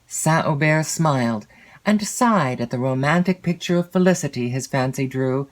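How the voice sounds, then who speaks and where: Measured woman narrating a classic novel. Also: deep voice, a little mellow, rough recording. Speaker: audiobook narrator. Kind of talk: scripted literary narration of a classic gothic novel.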